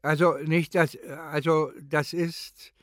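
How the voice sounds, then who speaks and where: An actor playing a pensive, grave man who gives halting, deliberate, thoughtful testimony as if speaking spontaneously. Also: a little high, level tone, no room sound, studio quality. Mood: searching, intense. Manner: halting, deliberate, thoughtful, with long drawn-out phrases. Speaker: performer in a radio play recording session. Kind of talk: re-enacting spontaneous reflective testimony.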